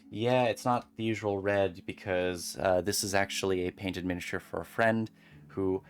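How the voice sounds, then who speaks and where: A streamer chatting casually and calmly while beading at a home desk, rambling gently with many fillers. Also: low-pitched. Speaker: crafting streamer.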